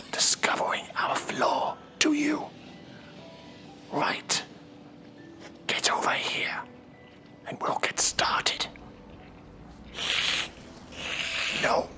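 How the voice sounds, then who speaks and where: Stern, weary demon judge of the underworld, played by a man, heavily whispered, slow and scolding, for horror ASMR. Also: sits high, gravelly, voice pushed up, exaggerated voice. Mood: irritated, scolding. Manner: scolding, weary, deliberate, commanding. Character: impatient, stern male demon judge of Hell.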